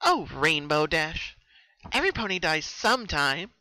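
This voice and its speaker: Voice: mid-range voice, sing-song, measured pace, bright, forceful tone, dry recording, voice pushed up, heavily acted. A narrator voicing a sadistic pony mare who lectures a captive in a patronising, philosophising manner, for a horror story reading. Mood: gleeful, chilling calm. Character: sadistic pony mare torturer, calmly philosophising, sing-song menace.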